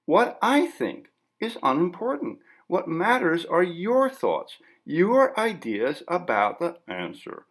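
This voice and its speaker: A man's voice: sits high, wildly swooping pitch, slow, a bit bright, pitched above the performer's own voice, heavily acted. A man voicing a formal, persistent interviewer in an audiobook story, politely but firmly pressing an artist. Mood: insistent.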